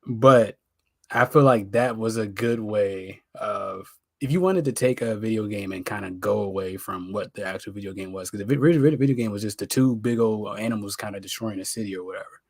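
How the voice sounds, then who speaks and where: Give the voice of an American man with a fairly low pitch, chatting as a podcast co-host. His delivery is informal, slangy and opinionated, and the sound is dry and close. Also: brisk, darkish tone, breathy edge, no room sound. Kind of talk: casual pop culture podcast chat.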